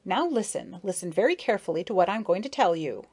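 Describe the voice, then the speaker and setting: A woman's voice: medium pitch, lively intonation, fast, a little mellow, husky. A woman plays an elderly female bee who teaches the young in a children's storybook. She is strict and fussy, lecturing with exasperated, sighing firmness. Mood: brisk, urgent. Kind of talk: children's storybook character reading.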